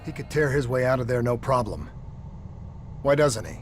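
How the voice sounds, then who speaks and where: An actor playing a determined, earnest, commanding soldier in a cinematic video game. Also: male voice, medium pitch, animated, moderate pace, faint music behind, natural voice. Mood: grim focus. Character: determined male soldier trying to save his comrade.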